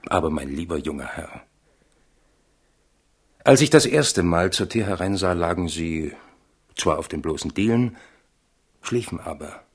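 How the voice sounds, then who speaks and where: An actor playing a loyal, philosophical royal attendant in a radio drama, measured and gently reproving with a pompous touch. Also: male voice, low voice, animated, slightly rough, exaggerated voice. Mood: wry reproach. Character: loyal male attendant, admonishing, philosophical, wry. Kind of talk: German radio drama acting.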